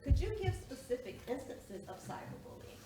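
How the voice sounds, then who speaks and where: A probing female lawyer played by a woman examines a witness in a courtroom play, her fairly high voice moving up and down expressively. The recording is distant and roomy, and she keeps a formal manner. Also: huge pitch swings, moderate pace. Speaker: actor in a one-act play. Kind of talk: stage courtroom drama acting. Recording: echoey room, distant mic, noisy.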